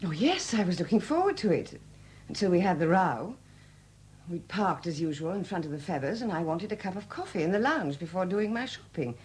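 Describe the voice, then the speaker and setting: An actress in a 1970s radio drama playing a weary, flustered sculptress, newly widowed and proud, speaking candidly in hesitant, halting phrases. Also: female voice, fairly low pitch, sing-song, darkish tone. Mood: candid, regretful. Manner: hesitant, halting, repeating words. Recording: noisy old 1970s radio recording.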